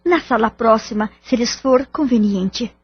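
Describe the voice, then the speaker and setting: A woman playing the polite lady of a household in an audio drama, courteous and formal with a husky voice. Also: fairly high pitch, a little slow. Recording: dull lo-fi audio. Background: background music.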